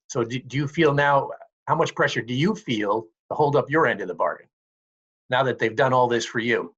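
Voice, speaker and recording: A man's voice: mid-range voice, normal speed, fairly bright tone, husky. A man asking a question as a sports reporter at a remote press conference. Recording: muffled conference call audio.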